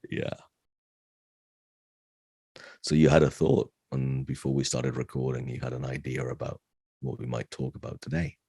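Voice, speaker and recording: A British man co-hosting a podcast in casual chat, tired after a late night, raspy and relaxed with fillers. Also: very deep voice, normal speed, pauses often, dry recording, clean studio sound. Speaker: podcast co-host.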